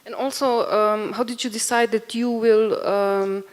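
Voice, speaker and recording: A woman's voice: fairly high pitch, fairly even intonation, measured pace. A woman moderating a post-performance talk, asking the performers thoughtful questions. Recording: reverberant hall.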